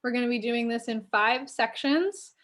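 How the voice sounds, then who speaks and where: Higher-pitched woman hosting informally. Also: moderate pace, fairly bright tone. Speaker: host, assistant library director. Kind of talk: informal welcome at an online community event.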